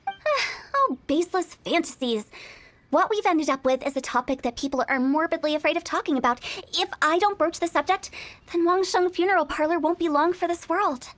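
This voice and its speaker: Brief: a playful, scheming young funeral director, high and bright, teasing with creepy asides. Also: female voice, a little fast. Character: mischievous young female funeral parlor director. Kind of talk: video game voice acting.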